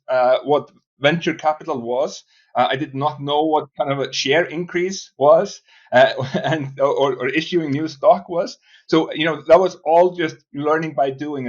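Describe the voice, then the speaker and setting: A man from Iceland, for whom English is not a first language, talks through his answers haltingly, with frequent "uh"s and restarts. His voice sits a little higher than most men's. The recording sounds slightly dull and muffled. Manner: hesitant, many restarts and uhs. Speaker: guest, startup founder. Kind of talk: casual interview talk.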